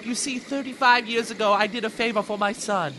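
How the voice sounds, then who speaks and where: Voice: medium pitch, fairly even intonation, brisk, background noise, close to an everyday voice. A performer voicing a fiendish, lewd old villainous doctor in a theatrical, gloating, melodramatic way for a campy audio comedy.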